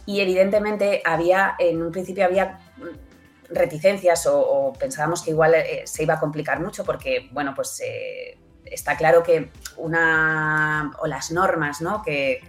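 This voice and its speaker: A woman with an accent from Spain speaking as an interview guest, explaining conversationally and often checking with short tag questions. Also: deep voice. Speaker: interview guest, audio fiction producer.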